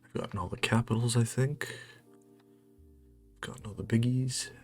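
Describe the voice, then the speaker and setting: A man's voice: medium pitch, fairly even intonation, normal speed, soft background music. A man with a North American accent thinking aloud as he plays a geography quiz, musing hesitantly and muttering to himself in a casual commentary. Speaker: host playing a geography quiz.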